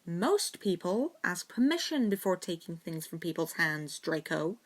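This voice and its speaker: A woman's voice: on the low side, sing-song, measured pace. A woman voicing a stubborn, sarcastic schoolboy wizard with dry retorts in an audiobook-style story.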